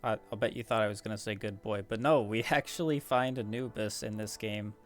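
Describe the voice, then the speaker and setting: A man's voice: fairly low pitch, steady tone, fairly quick. A man casually commentating on his gameplay, talking through strategy in a hesitant, rambling way. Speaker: gaming video host. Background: background music.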